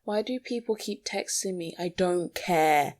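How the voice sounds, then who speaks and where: A woman's voice: lowish voice, unhurried, darkish tone. A young woman podcasting alone in a rambling, confessional monologue, anxious and self-deprecating. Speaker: podcast host. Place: small bedroom at home. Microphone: small microphone.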